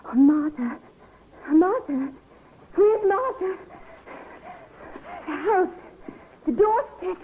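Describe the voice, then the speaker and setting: Timid servant girl; slow delivery, muffled hissy vintage radio recording. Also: very high-pitched, expressive, heavily acted. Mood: dazed, distraught. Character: timid obedient young servant girl, dazed with grief. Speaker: actress. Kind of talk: vintage radio drama acting.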